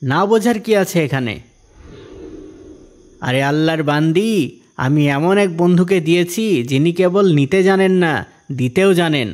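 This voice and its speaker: A man's voice: a little high, expressive, moderate pace, pauses often, a little mellow, natural voice. A man voicing a kindly, devout and patient fisherman husband in a cartoon, speaking with assured, explaining manner. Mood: calm reassuring. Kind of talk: animated cartoon dialogue in Bengali. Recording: music behind the voice.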